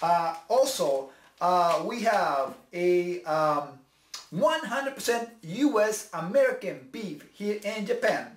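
A man's voice: sits high, animated, drawn-out words. A man in his thirties hosting a homemade cooking show in a small kitchen, with showman patter and switching between two languages. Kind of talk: bilingual cooking vlog. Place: small home kitchen, indoors. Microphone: camera mic, several feet away. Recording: echoey kitchen with faint music.

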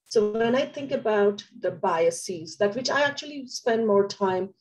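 A woman guest on a video call, under the weather with a cough, explaining her views in a deliberate way. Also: mid-range voice, animated, a little mellow, rough recording. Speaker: guest, tech community co-founder. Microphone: webcam on a video call.

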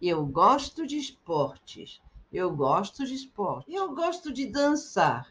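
A middle-aged woman teaching Portuguese, repeating short phrases slowly and with careful articulation for learners. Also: mid-range voice, sing-song, a bit bright. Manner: slow, carefully articulated repetition. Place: indoors, webcam in a circle overlay.

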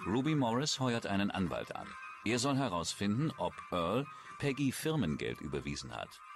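A man's voice: on the low side, big pitch swings, normal speed, over music. A man reading scripted true-crime documentary narration.

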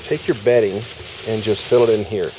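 A man's voice: lowish voice, normal speed, noisy background. A man hosting a homestead video, talking casually through a hands-on demonstration outdoors in a yard, folksy and step by step. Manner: folksy, talks through each step while working.

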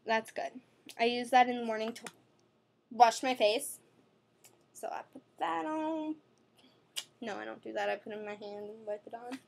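A woman's voice: a little high, moderate pace, gravelly, long pauses, slight background noise. A teenage girl from New chatting rambly about her skin products in her bedroom, recorded on a webcam. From New York City. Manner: rambling, chatty, with many fillers and self-corrections. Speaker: beauty vlogger. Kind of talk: casual product-review vlog. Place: bedroom at night, indoors. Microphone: webcam at desk.

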